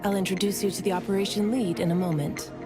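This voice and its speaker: A woman's voice: mid-range voice, steady tone, fast talker, lightly acted. An actress playing a composed, courteous woman officer in an anime game, speaking in a formal, businesslike way. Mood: polite, businesslike. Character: polite, composed young woman bureau officer giving briefings. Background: background music.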